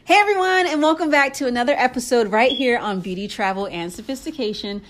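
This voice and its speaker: A woman's voice: fairly high pitch, fast talker. A woman hosting a casual chat show, enthusiastic and gushing, answering with lots of "wow" and "awesome.". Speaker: host.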